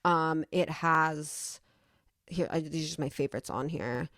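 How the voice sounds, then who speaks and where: A woman's voice: low-pitched, measured pace, a bit bright, dry recording, studio quality. A young adult woman vlogging in a casual, rambling way, opinionated and emphatic, with some swearing.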